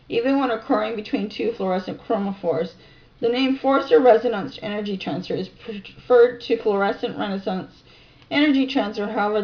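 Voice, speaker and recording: A woman narrating a video, reading scientific text aloud with informal asides, halting over technical terms and correcting herself. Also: medium pitch. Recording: dull lo-fi sound.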